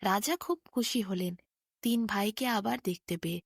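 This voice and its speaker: A woman storyteller reading a scripted bedtime fairy tale with expressive, clear phrasing. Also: medium pitch, normal speed, no echo, studio quality.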